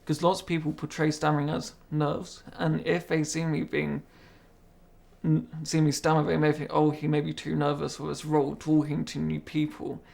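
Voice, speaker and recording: A young man with a stammer answers interview questions in a careful, measured way, sometimes repeating words. His voice sits fairly high for a man with a gravelly edge. He keeps a flat, steady tone throughout. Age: young adult. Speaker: interviewee, apprentice. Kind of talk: interview conversation.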